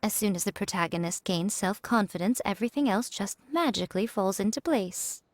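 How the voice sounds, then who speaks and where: A woman voicing a sly, teasing shrine priestess in a video game, with an unhurried way of talking. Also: medium pitch, lively intonation, dry recording, close to an everyday voice.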